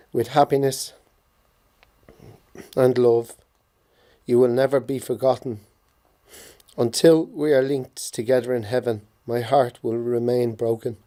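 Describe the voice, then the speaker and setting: Mellow, solemn Dublin man reading a eulogy. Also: mid-range voice. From Ireland. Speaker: bereaved brother giving a tribute. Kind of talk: reading a prepared poetic eulogy.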